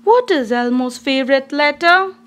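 A woman reading a children's storybook aloud in an expressive, deliberate, child-directed way. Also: sits high. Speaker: storybook reader.

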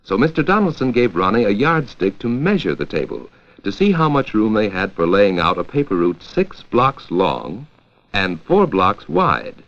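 An American man narrating a 1940s educational film from a script, with a clear, measured storytelling delivery. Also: on the low side. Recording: dull, lo-fi 1940s 16mm film soundtrack.

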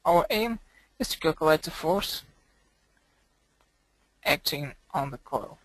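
A man presenting a software tutorial as a screencast voice-over, speaking haltingly in short broken phrases. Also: on the high side, expressive, no echo, poor audio.

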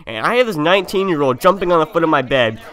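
A man's voice: on the high side, expressive, quick pace, a bit bright. A young man, a game streamer, tells a story in a casual, slangy way, acting out dialogue as he goes, on a close mic. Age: young adult. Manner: informal, slangy, acts out dialogue. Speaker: streamer telling a story over gameplay. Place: indoor room at a gaming setup.

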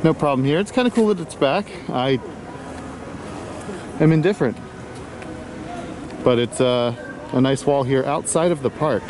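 A man's voice: medium pitch, normal speed, long pauses, noisy background. A man casually narrating a walking tour outdoors at a theme park, rambling and offhand and correcting himself as he goes. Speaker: vlog host.

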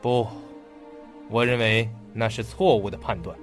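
An actor playing an earnest, polite young police detective in a radio drama. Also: male voice, fairly low pitch, steady tone, a little slow, soft background music, natural voice. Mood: grave, speculative.

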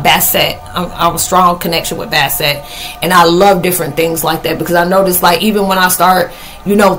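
A woman's voice: fairly low pitch, a bit bright, slight background noise, poor audio. Conversational American woman, rambling vlog. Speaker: spiritual vlogger. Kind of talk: casual rambling monologue to camera. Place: living room, indoors.

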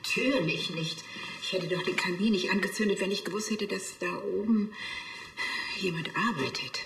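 A woman's voice: medium pitch, normal speed, roomy sound, noisy background, close to an everyday voice. An actress playing a scatterbrained, fussy woman in her seventies in a TV crime comedy, rambling and hesitant. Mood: indignant. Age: 70s. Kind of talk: naturalistic TV crime comedy acting.

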